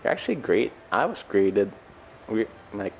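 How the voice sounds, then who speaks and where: A man's voice: lowish voice, big pitch swings, normal speed, dull audio, a little hiss or hum. A man from the Philippines giving casual live commentary as he streams a game. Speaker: game livestreamer.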